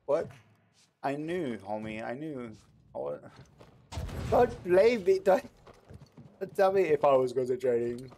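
A man's voice: fairly high pitch, sing-song, slow, pauses often, mellow, a little hiss or hum. Chatty man doing casual game commentary. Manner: chatty, talks back to the game, repeats himself. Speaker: video game streamer. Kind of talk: casual let's play commentary. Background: video game audio.

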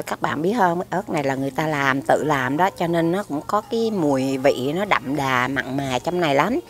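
A middle-aged woman with a Southern Vietnamese accent reviews food to a camera across a restaurant table. Her voice is low and gravelly, and she rambles warmly, repeating words and leaning on fillers. Faint music plays behind her. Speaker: food vlogger. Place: restaurant table by a window, indoors.

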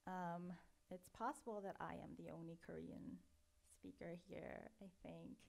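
Low, gravelly-voiced woman giving a talk. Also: measured pace. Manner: relaxed, chatty, with frequent ums. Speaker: conference speaker. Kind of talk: design conference talk. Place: dark auditorium, at a lectern before an audience.

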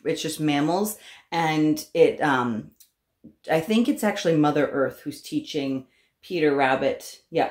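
Low-pitched woman in an echoey room. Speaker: homeschooling parent vlogger. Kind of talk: casual talk to camera, recommending books. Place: small room at home, indoors. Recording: roomy indoor echo.

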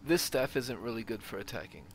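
A man's voice: medium pitch, lively intonation, brisk, noisy background. A man giving casual gameplay commentary as a gaming host, thinking aloud as he plays. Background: video game ambience.

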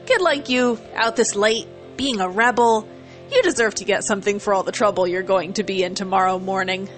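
A woman's voice: medium pitch, brisk, faint music behind, lightly acted. A woman voicing a brash, boastful young pony athlete with a kind streak, casual and emphatic, for a cartoon fan story. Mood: friendly, amused.

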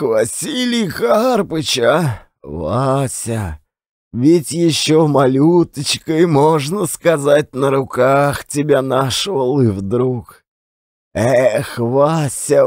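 A man's voice: mid-range voice, animated, very slow, darkish tone, no room sound, studio quality. A man voicing a tender, lamenting old servant who talks in a folksy, sighing way and repeats himself, in an audiobook play. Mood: tender reproach.

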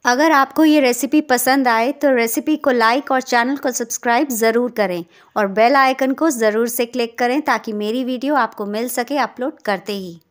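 Woman, high voice, cooking host, scripted recipe narration, step-by-step instructional delivery. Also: normal speed, few pauses.

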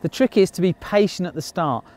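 A man's voice: sits high, lively intonation, fairly quick, darkish tone. Upbeat man teaching skiing outdoors. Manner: upbeat, plain explaining with light jokes. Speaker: ski instructor. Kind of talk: on-slope ski lesson. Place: outdoors on a snowy ski slope.

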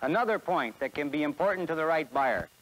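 A man's voice: on the high side, animated, brisk, fairly bright tone, low-quality recording. A man in his forties with an American accent giving a scripted sales presentation in a 1960s promotional film. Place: film studio beside a truck.

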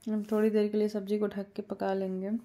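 Woman, cooking host, calm step-by-step explainer, mellow and even delivery. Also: mid-range voice, a little fast. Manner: calm step-by-step instructions with small fillers. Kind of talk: home cooking tutorial voice-over.

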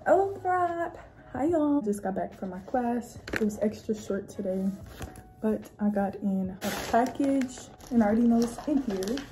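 A woman's voice: a little high, measured pace, noisy background. A young woman with a Southern US accent, chatting casually to her phone camera in a small apartment bedroom for her vlog. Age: young adult. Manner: chatty, relaxed, slangy. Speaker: vlogger, college student. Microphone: phone camera at arm's length.

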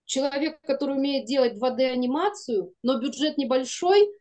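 Female guest, thirties to forties, fairly high voice, informal and expressive conversational delivery. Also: normal speed. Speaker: guest, animation studio producer. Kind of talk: casual interview chat. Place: room indoors, video call.

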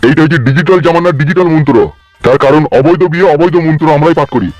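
A man's voice: on the high side, very fast, darkish tone, no echo, highs cut off, close to an everyday voice. A man voicing a crabby, money-grubbing old wedding priest in a comic cartoon, scolding and crude. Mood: bossy, haggling.

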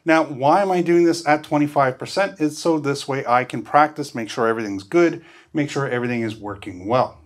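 Male presenter, 50s, mid-pitched, for a casual, practical step-by-step instructional video. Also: a little fast, few pauses. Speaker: host of audio gear tutorial. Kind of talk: instructional walkthrough. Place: small indoor studio. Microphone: lavalier.

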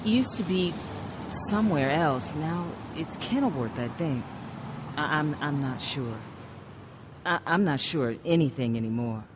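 A woman's voice: low-pitched, lively intonation, measured pace, background noise. An actress playing a troubled adult daughter who tells her own story in a radio drama. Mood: confused, hesitant. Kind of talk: radio drama narration and acting.

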